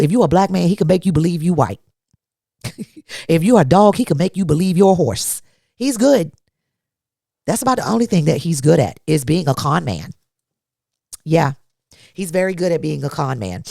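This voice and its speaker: A woman's voice: low-pitched, vocal fry, dark tone, no room sound, studio quality. A woman hosting a solo talk podcast, emphatic and blunt, asking rhetorical questions, a little congested. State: congested from allergies. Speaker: podcast host.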